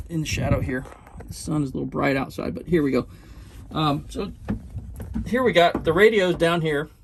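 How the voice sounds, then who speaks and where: A man presenting an informal how-to tutorial in a halting, step-by-step way, repeating technical details as he goes. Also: mid-range voice, gravelly, a little hiss or hum. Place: at a boat console, in shade on a sunny day.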